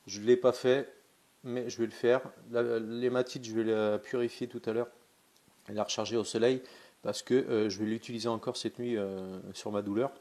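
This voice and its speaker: A man's voice: medium pitch, level tone, moderate pace, a little mellow. A man with a cold, casually demonstrating something in a vlog and talking in a hesitant, chatty way with many fillers and restarts. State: has a cold. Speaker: pendulum dowsing vlogger.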